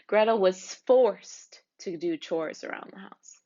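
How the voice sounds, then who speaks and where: A woman reading a children's storybook aloud in an expressive narrating style. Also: mid-range voice, takes it slowly, dull audio.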